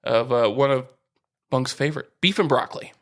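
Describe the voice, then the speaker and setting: A man with a bright, forward voice and a middling pitch, chatting casually as a podcast co-host. He talks informally and likes to tease and joke with his partner. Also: fairly quick. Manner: teasing, jokey, informal. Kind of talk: casual bantering sports and food chat.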